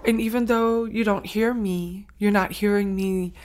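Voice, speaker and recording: A woman's voice: medium pitch, fairly even intonation, darkish tone. A woman from Saint Helena Island whose first language is Gullah, telling her story earnestly as an interview guest. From Saint Helena Island, South Carolina. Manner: earnest, heartfelt, with frequent pauses and restarts.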